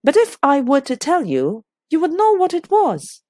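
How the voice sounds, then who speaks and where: A woman's voice: high voice, huge pitch swings, moderate pace, warm tone, no echo, studio quality, voice pushed up. A woman voicing a stubborn, flustered upper-class hostess in a mystery audiobook, protesting plaintively. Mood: defiant, plaintive. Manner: indignant, obstinate protesting.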